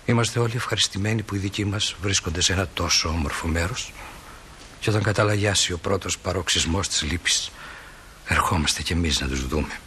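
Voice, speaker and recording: A folksy small-town stage narrator with a low, crisp-edged voice. He muses to the audience with gentle, reflective wryness. A faint layer of noise sits behind him. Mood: solemn, reflective. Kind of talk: theatrical narration addressed to the audience.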